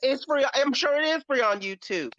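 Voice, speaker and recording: A man's voice: very high voice, expressive, normal speed, muffled recording, a crowd in the background. A man in a heated casual panel debate, talking in a blunt, sassy, slangy way with plenty of swearing. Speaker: podcast panelist.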